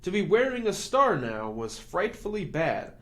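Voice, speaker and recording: A man reading a rhyming picture book to children, expressive and rhythmic, as the host of a storytime. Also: high voice, unhurried.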